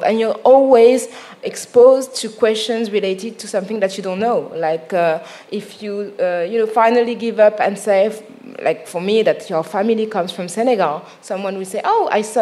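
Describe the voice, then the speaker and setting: French woman musing with many pauses. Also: medium pitch, warm tone. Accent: Parisian French. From France. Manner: earnest, searching for words with many fillers. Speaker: podcast co-host. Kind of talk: casual discussion.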